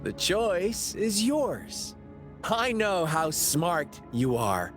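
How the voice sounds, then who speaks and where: A man's voice: high-pitched, big pitch swings, extremely slow, heavily acted. An actor playing a sly, mocking young male villain in a game cutscene, teasing and taunting. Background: game music.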